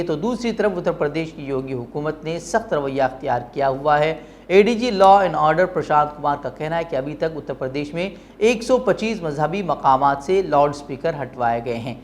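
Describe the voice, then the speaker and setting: A news presenter with an Indian accent reading a bulletin with some commentary. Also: medium pitch. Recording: clear background noise.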